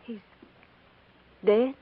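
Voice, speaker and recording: An actress playing a fearful, grieving young frontier wife in a 1950s radio western, speaking hesitantly with a tremor. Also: female voice, very slow, narrow, tinny audio. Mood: stunned grief. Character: frightened, grieving young frontier wife, new to the hard life. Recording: scratchy, phone-thin 1950s radio recording.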